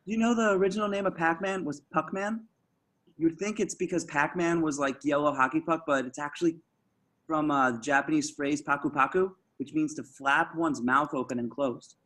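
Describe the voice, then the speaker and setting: A man's voice: a little high, moderate pace, fairly bright tone. A man playing an awkward, defensive slacker in his twenties at a table read, hesitant and rambling. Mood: awkward, casual. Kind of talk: table-read acting over video call. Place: remote group video call.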